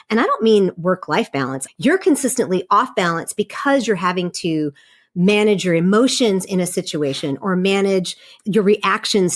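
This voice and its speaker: A woman, a guest in an interview, speaking in an earnest, emphatic way. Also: medium pitch. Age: 50s. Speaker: podcast guest, leadership coach. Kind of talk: casual interview conversation.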